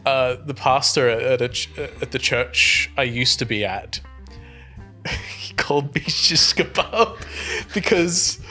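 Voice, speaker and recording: Aussie guy just chatting, bright clear-cut voice, light guitar music under him. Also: mid-range voice, unhurried. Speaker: podcast cast member. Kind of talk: casual chat.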